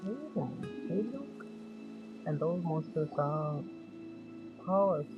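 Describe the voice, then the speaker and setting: Woman game streamer, low voice, slow casual remarks, dull lo-fi mic, loud music. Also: very animated. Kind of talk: casual live commentary.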